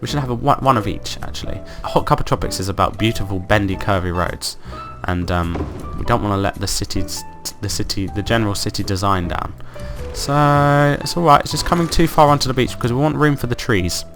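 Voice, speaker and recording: A man with a croaky voice from being ill, hosting casual gameplay commentary and thinking aloud in an upbeat, rambling way. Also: lowish voice, fairly quick, hardly any pauses, over music. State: croaky from illness. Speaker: let's play host.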